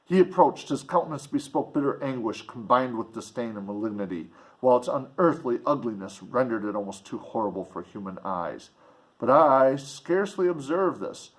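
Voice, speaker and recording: A man's voice: mid-range voice, animated, normal speed, low-quality recording. A man reading a classic novel aloud expressively as narrator and commentator. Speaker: reader and commentator. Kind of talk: expressive audiobook narration with casual asides.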